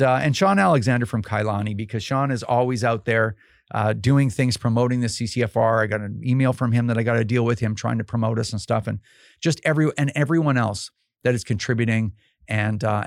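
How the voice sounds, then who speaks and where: Gravelly, worn-voiced Canadian podcast host. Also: male voice, mid-range voice, fast talker, dry recording, studio quality. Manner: rambling and emphatic, with many fillers and restarts. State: voice getting worn out. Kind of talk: casual podcast monologue.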